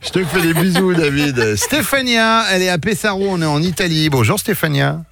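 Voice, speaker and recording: A man's voice: a little high, expressive, fairly quick, gravelly, fairly bright tone, slight background noise. A man hosting a morning radio show, chatting playfully with callers and joking around in a studio. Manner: joking, teasing, upbeat. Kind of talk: lively radio chat with callers. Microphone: studio microphone.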